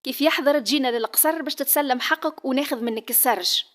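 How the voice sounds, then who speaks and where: A woman's voice: high-pitched, fast talker, close to an everyday voice. A woman with a Tunisian accent voicing a polite but insistent young prince. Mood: courteous.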